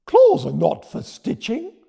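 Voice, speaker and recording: Man voicing a loud, growling female dragon. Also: extremely high pitch, wildly swooping pitch, takes it slowly, gravelly, breathy edge, voice pushed up, heavily acted. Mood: scornful bellowing. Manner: growling, bellowing. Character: fierce, hot-headed female green dragon, scornful and bellowing.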